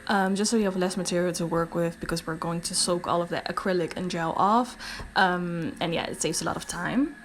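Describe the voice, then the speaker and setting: A woman's voice: lowish voice, fairly quick, faint music behind. A young woman from the Netherlands with a Dutch accent, chatting casually through a nail tutorial voice-over, with many ums. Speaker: beauty vlogger.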